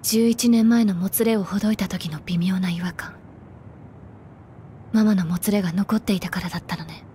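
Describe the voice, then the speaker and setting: A woman's voice: mid-range voice, steady tone, normal speed, warm tone, slight background noise, close to an everyday voice. An actress voicing a composed, serious young woman fighter in a Japanese anime game. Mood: serious, reflective.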